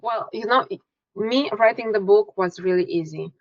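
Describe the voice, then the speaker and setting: Romanian woman, relaxed, dull call audio. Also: mid-range voice, moderate pace, no echo. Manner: relaxed, restarts and self-corrections. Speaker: author and energy healer guest. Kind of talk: conversational interview.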